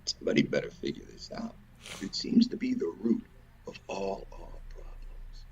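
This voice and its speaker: A man's voice: low voice, moderate pace, gravelly, a little mellow, whispered, highs cut off. A man hosting an informal, impassioned commentary, ranting with repetition, rhetorical questions and swearing. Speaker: host of a religious and historical commentary show.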